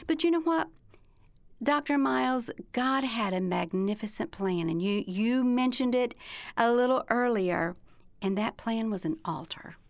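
A woman's voice: a little high, creaky, no room sound. A woman with a Southern American accent hosting an interview, enthusiastic and speaking straight to listeners. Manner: enthusiastic, addresses listeners directly. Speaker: talk show host. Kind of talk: interview host.

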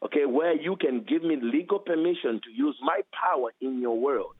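A man's voice: normal speed, slightly rough. A man with a heavy accent, a guest author teaching with emphasis and repeating key phrases. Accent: heavy foreign accent. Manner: emphatic, repeats phrases, animated swings in pitch. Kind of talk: preaching teaching interview. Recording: tinny phone line.

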